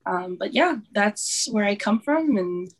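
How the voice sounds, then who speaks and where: A woman's voice: mid-range voice, lively intonation, measured pace. A woman, a podcast guest telling her story in a hesitant way, with many ums and restarts. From Hawaii. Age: 30s. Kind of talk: casual interview.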